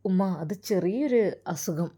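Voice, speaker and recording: A woman's voice: mid-range voice, expressive, a little slow, warm tone, husky. A woman storyteller voicing a concerned young man who speaks earnestly to reassure an older mother. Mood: hesitant, calming.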